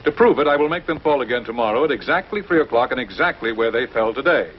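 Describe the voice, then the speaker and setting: Guy acting smug sarcastic city reporter in old 50s tv drama, super animated pitch, muffled noisy soundtrack. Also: fast talker, natural voice. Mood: mock theatrical. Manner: sarcastic, mocking, theatrical when reading aloud. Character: scoffing, sardonic male big-city newspaperman. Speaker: actor in a TV drama. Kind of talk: 1950s television drama acting.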